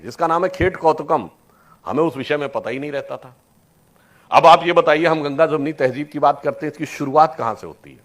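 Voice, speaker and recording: A man giving a persuasive political speech to an audience, with deliberate emphatic phrasing, rhetorical questions and English words mixed in. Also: fairly high pitch, fairly bright tone. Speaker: political speaker.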